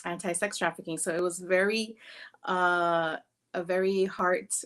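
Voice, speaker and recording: A woman in her forties from New York City telling her life story in a relaxed way, with many ums, over a video call. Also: on the low side, a little slow. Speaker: summit speaker. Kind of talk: personal story.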